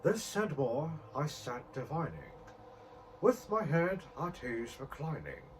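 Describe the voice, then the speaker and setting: Man acting a very slow, solemn gothic narrator. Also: fairly high pitch, wildly swooping pitch, slight background noise, exaggerated voice. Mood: solemn musing. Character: solemn, haunted male narrator of a gothic horror poem. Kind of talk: dramatic poetry recitation in impersonated character voice.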